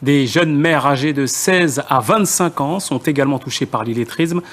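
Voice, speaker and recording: A man's voice: fairly high pitch, normal speed, fairly bright tone, faint music behind. A man presenting the evening television news from a script, as the anchor.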